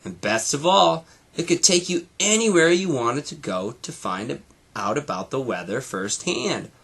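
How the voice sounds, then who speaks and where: A man's voice: medium pitch, very animated, measured pace, vocal fry, a bit bright, breathy edge, full character voice. A man voicing a cheerful, friendly cartoon mouse uncle who eagerly explains things, reading from a storybook.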